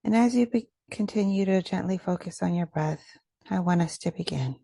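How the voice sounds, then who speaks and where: A woman leading a guided meditation in a gentle, measured, instructional way. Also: on the low side, gravelly, breathy edge, no echo.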